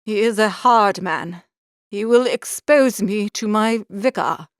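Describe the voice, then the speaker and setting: A woman's voice: medium pitch, slow pace, no echo, clean studio sound, lightly acted. A woman voicing an earnest, mournful young male curate in an audiobook, speaking formally and pleadingly. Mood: mournful confessing. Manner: formal, wordy, pleading speech. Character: earnest, mournful young curate, a man, confessing gambling ruin. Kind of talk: audiobook character reading.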